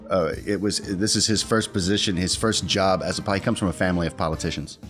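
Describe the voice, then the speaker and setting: A man's voice: low-pitched, quick pace, fairly bright tone, faint music behind. A man talking casually as a tabletop role-playing player, explaining the character he plays. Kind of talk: casual game-table chat, describing his character.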